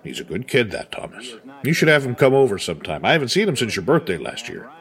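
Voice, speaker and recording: A middle-aged dad in an audio drama, folksy and fond of ribbing. His pitch swings freely as he rambles, teasing and doting in equal measure. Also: very animated, fast, fairly bright tone. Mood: fond, wistful. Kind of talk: naturalistic audio drama dialogue.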